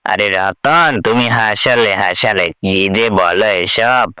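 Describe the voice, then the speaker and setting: Slow, booming, scoffing village man acted out. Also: bright, forceful tone, clean tone, no room sound, studio quality. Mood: mocking, breezy. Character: loud, scoffing village acquaintance, callously breezy.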